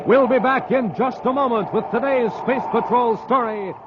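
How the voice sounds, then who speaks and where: A man's voice: very high voice, normal speed. An American man announcing and narrating in a dramatic, punchy, scripted 1950s radio style. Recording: muffled, hissy old radio recording.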